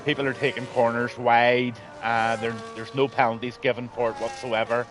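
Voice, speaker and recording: A man's voice: medium pitch, level tone, normal speed, music in the background. A man, losing his voice, commentating a live online race in a rambling, blunt way with lots of ums. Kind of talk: live sim racing commentary.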